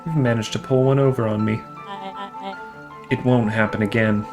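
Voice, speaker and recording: A man's voice: fairly low pitch, steady tone, normal speed, over music, natural voice. A man voicing a commanding game villain, formal, mocking and coolly menacing.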